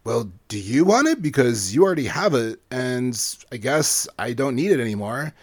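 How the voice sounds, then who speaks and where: A man's voice: medium pitch, big pitch swings, normal speed. A man voicing a friendly young boy who speaks earnestly.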